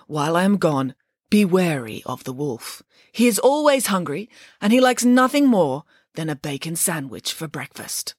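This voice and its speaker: A woman's voice: fairly low pitch, very animated, normal speed, no room sound, clean studio sound. A woman voicing a glamorous, vain mother pig from a storybook, grand and earnest with her children. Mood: grand warning.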